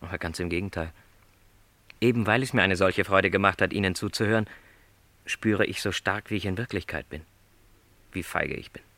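A man's voice: sits low, normal speed, long pauses, a bit bright, dry recording. An actor playing a lonely middle-aged travelling salesman in a literary radio play, speaking with courteous, reflective resignation. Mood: resigned.

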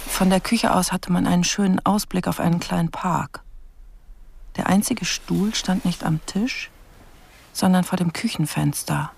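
A brooding investigator in a crime radio play. His voice is fairly high for a man and full of creak, sometimes hushed, and he thinks in short fragments. Clear noise sits behind him. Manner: terse, pensive, in clipped fragments. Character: brooding investigator narrating his case, quiet and observant. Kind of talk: radio crime drama narration.

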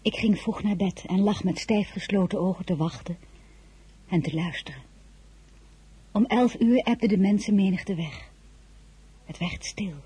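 An actress playing a tender, emotional royal wife in an audio drama, with a soft, breathy voice and an intimate way of speaking. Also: female voice, mid-range voice. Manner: intimate, hushed, feeling-laden. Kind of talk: audio drama acting with first-person narration.

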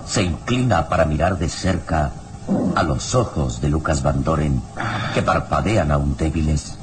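A man's voice: rumbling low, lively intonation, very noisy. A male actor narrating a radio serial as a solemn, suspenseful storyteller with declamatory phrasing. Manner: declamatory, ornate, deliberate phrasing.

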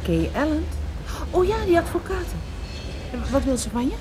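An actress playing a detective's anxious, curious female travelling companion in a radio drama, expressive and full of questions. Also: sits high, moderate pace. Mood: suspicious, curious. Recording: very noisy car-scene sound effects.

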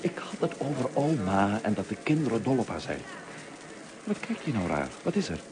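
A man's voice: on the low side, very animated, normal speed, crowd noise. An actor playing a good-humoured young husband and father in a Dutch radio play, polite and bantering. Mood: amused. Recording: noisy old radio play recording.

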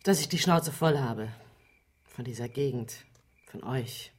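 A woman's voice: very deep voice, a little slow, husky, heavily acted. An actress playing a blunt, persistent woman journalist with a direct manner in a German radio crime drama. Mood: fed up. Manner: direct, probing. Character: blunt, fed-up, persistent female investigative journalist.

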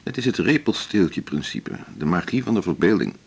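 Opinionated doctor in a radio play, husky deep voice, wry, kinda lectures you. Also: male voice, sing-song, a little fast, heavily acted. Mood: anecdotal, knowing. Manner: wry, pontificating. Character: self-assured, opinionated male doctor, an old colleague. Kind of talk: naturalistic Dutch radio drama dialogue.

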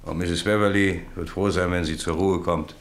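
An actor playing a genial, unhurried middle-aged family father in a radio drama. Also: low voice, steady tone. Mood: calm, good-natured. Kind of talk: German radio play acting.

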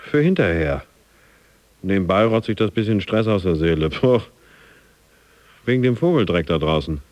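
A laddish gym-goer talks offhandedly in a radio drama. His low voice moves about freely and is recorded very dry. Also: expressive, normal speed, a little mellow, dry recording. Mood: offhand, grumbling. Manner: offhand, colloquial. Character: laddish male gym-goer training off stress. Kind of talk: radio play.

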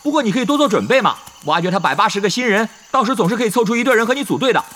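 High, rapid-fire chatterbox young gamer. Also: male voice, expressive, a bit bright. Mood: excited, pressing. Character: cocky talkative young male gamer, excitable and nagging. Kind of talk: Chinese audio drama acting.

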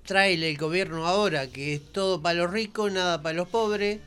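A man with a Rioplatense Argentine accent and a bright, forceful, very clear voice takes part in a casual radio chat. He speaks in short, simple phrases and often repeats himself, with faint music behind. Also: high-pitched, normal speed. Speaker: radio show participant.

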